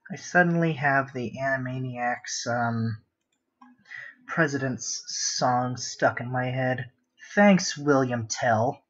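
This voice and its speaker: A man with a husky, mid-pitched voice hosts a casual art stream. He rambles and mutters half to himself as he sketches and chats with viewers. The audio is a little dull and lo-fi. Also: takes it slowly, a bit bright. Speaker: art livestream host. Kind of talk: casual chat while sketching.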